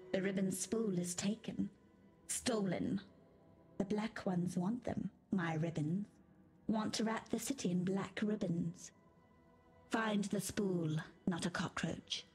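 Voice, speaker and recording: An actress playing a mad, weepy, fragile queen in a video game, speaking in slow, disjointed riddles. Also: medium pitch, darkish tone, lightly acted. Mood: pleading, eerie. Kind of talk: video game character dialogue. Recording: music behind the voice.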